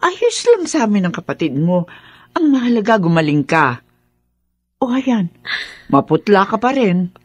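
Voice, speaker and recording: A woman's voice: medium pitch, big pitch swings, a little slow, pauses often, slightly rough, no echo. An actress playing an anxious, caring middle-aged mother, weary and fretful, in a Tagalog radio drama. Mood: alarmed. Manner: solicitous, fretful.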